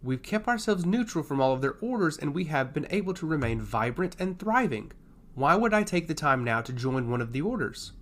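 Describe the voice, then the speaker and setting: A man's voice: a little high, very animated, fairly quick. Man playing a proud, skeptical fantasy lord. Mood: passionate scorn. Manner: passionate, scoffing. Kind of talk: fantasy audio story.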